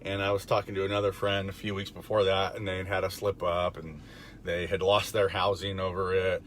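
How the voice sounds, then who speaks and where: A middle-aged man with a raspy, gravelly voice, hoarse from being under the weather, talks casually about faith and recovery from his parked car, with fillers and soft chuckles. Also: low-pitched, fairly quick, a little hiss or hum. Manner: relaxed, rambling with fillers and chuckles. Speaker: recovery vlogger. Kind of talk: casual faith talk to camera.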